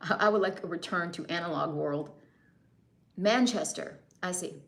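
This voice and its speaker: A woman's voice: lowish voice, normal speed. A young American woman chatting casually on a live stream, answering viewers' comments and laughing often. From United States.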